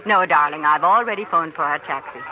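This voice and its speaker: A woman's voice: low-pitched, brisk, lo-fi sound, lightly acted. An actress playing an affectionate, considerate young woman engaged to an intern in a 1940s radio drama. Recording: thin, noisy 1940s radio recording.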